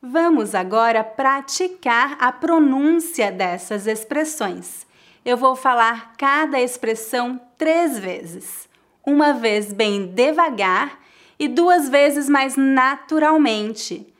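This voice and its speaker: A woman with a Brazilian Portuguese accent teaching a pronunciation lesson, speaking with clear, deliberate enunciation for learners to repeat. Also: sits high, animated.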